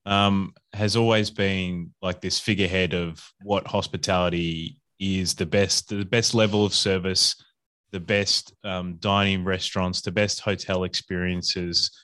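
A man's voice: deep voice, flat delivery, measured pace, a bit bright. A man with an Australian accent, a podcast guest talking casually and upbeat, with lots of fillers and restarts. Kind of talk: casual conversational interview.

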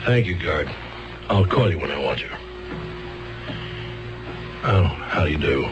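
An actor in a 1970s radio drama playing an earnest, flustered defense lawyer who hesitates and stammers fussily. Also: male voice, rumbling low, lively intonation, unhurried. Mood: polite, awkward. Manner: hesitant, stammering, throat-clearing, fussy. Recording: muffled old radio, music behind.

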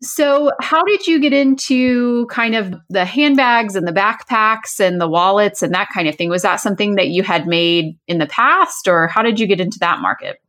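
A woman's voice: medium pitch, moderate pace, few pauses, fairly bright tone. Female podcast host asking questions. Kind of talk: casual interview questions.

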